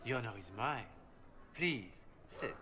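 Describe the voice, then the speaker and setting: A man playing a courteous, self-interested count in a fantasy game, with smooth, formal manners. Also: mid-range voice, animated, measured pace, crowd noise, natural voice. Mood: courteous, assured. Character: courteous, suave male count, self-interested noble informant. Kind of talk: scripted video game dialogue.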